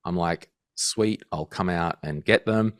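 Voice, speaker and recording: Man, thirties, low pitched, dry close mic, animated anecdotes. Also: measured pace, dry recording. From Australia. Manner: animated storyteller, acts out quotes. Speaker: guest financial podcaster. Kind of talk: casual interview chat.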